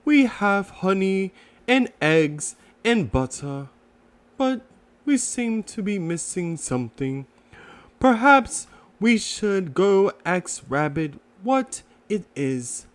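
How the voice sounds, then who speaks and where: A man voicing a gentle, simple-minded storybook bear in a mild, unhurried way. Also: sits high, very animated, voice pushed up, full character voice. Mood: thoughtful.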